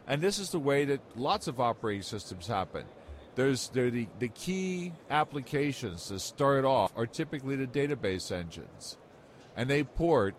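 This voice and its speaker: Man reminiscing over background noise. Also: medium pitch. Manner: explanatory, anecdotal, rambling. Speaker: interview guest, software industry veteran. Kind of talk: conversational interview storytelling.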